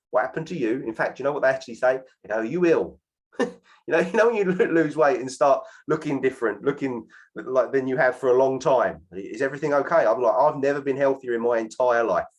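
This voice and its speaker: A man around forty, upbeat and persuasive, who tags "right?" onto nearly every line as he pitches. His voice sits at an ordinary middle pitch for a man. He is talking over a video call. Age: 40s. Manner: keeps adding "right?" to sentences, lively and persuasive. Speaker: host of an online presentation.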